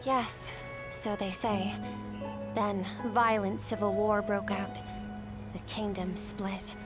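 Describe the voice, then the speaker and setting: A woman's voice: mid-range voice, flat delivery, takes it slowly, lightly acted. A woman voicing an earnest, gracious young noblewoman in a video game cutscene, speaking in a formal, articulate way. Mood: grave, sorrowful. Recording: music behind the voice.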